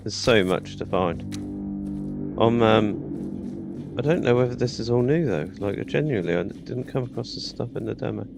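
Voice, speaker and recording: British guy doing a lets play, lowish gravelly voice, chatty, game music behind. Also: moderate pace. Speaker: gaming channel host. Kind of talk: casual gameplay commentary.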